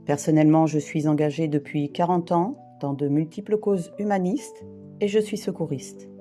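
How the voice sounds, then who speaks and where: A woman in her fifties from the Paris region, reading a personal testimony in a measured, formal way. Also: low-pitched, warm tone. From France, Île-de-France. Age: 50s. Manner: measured, formal, list-like delivery. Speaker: brief therapist and volunteer rescuer. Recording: guitar music behind.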